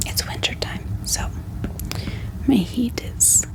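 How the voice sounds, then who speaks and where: A woman speaking in a soft, gentle, unhurried ASMR whisper, calmly showing things to her viewers. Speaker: ASMR book vlogger. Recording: steady background hiss, heater hum, clicks. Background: book tapping, clicking, heater running.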